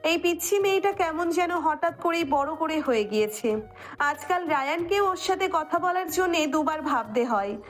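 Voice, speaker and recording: A woman's voice: sits high, normal speed. A woman narrator reading a scripted romantic story. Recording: music bed under the voice.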